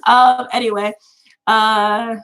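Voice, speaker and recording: A woman's voice: fairly high pitch, level tone, takes it slowly, a bit bright, poor audio. A woman in her twenties chatting casually with viewers on a livestream, full of fillers and easy laughter. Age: 20s. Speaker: tarot channel host.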